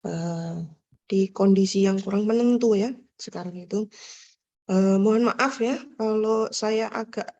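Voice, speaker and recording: A woman's voice: medium pitch, unhurried, warm tone, lo-fi sound. A woman lecturer with an Indonesian accent, nasal and congested from a cold, chatting casually through an online class with many fillers and tag questions over a video call.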